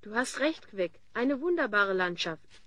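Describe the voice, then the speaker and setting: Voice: mid-range voice, very animated, a little slow, a bit bright. An actor playing a fifteen-year-old boy hero in a fantasy audio drama, earnest, sensible and theatrical.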